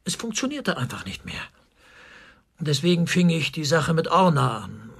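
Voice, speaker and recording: Radio crime drama: tormented military man under questioning, defensive, higher-set voice with a heavy rasp. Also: sing-song, normal speed, a bit bright, heavily acted. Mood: subdued, confessional. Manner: agitated, swinging between indignant outbursts and subdued confession. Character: cornered male army officer under interrogation, defensive, tormented. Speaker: suspect, military governor.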